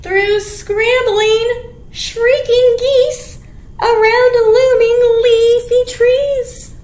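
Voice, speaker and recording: Very high-pitched, sing-song woman reading to children. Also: steady tone, extremely slow, a little mellow. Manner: sing-song, exaggerated, with dramatic gasps and simple explanations for little ones. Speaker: children's storytime presenter at a library. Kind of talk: picture-book read-aloud and rhymes for young children. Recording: roomy echo, clear background noise.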